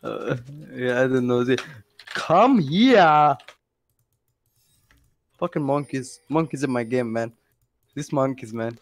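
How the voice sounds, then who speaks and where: Young male gamer on headset chat; giggly, needling and excitable. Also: mid-range voice, takes it slowly, long pauses, slight background noise. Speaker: gamer streaming his match. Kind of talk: casual voice chat while gaming.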